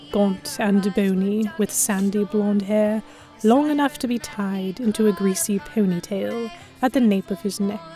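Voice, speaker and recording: A woman's voice: medium pitch, a little mellow. A woman reading scripted first-person narration for an audio drama.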